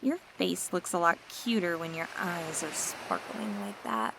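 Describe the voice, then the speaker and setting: A woman voicing a seductive, scheming mermaid in an ASMR roleplay, talking in a teasing, flattering, coaxing way. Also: fairly low pitch, measured pace, background noise.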